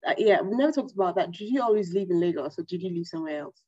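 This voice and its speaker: A woman hosts a casual podcast with a lowish voice, friendly and giggly as she asks questions. The recording is dull and dry, with no room sound. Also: lively intonation, rapid-fire, mellow, slightly rough, no echo. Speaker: podcast host.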